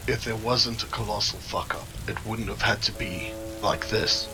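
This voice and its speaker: An actor playing a cold, controlled criminal go-between in a noir audio drama, formal, curt and disdainful. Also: male voice, fairly low pitch, steady tone, normal speed, over music, close to an everyday voice, poor audio. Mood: cool, stern. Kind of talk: scripted audio drama dialogue.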